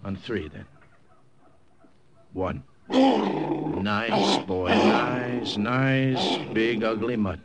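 A man's voice: mid-range voice, expressive, drawn-out words, gravelly, long pauses, a loud crowd, full character voice. An actor playing a tough American wartime secret agent who narrates his mission in clipped, hard-boiled radio-drama style. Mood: tense, wry. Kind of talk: 1950s radio drama acting. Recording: old radio broadcast, music underneath.

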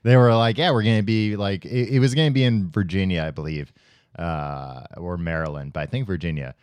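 A man's voice: low voice, a little fast, a little mellow, no echo. A man co-hosting a comedy podcast, trading jokey banter and sometimes slipping into silly cartoon voices. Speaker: podcast co-host.

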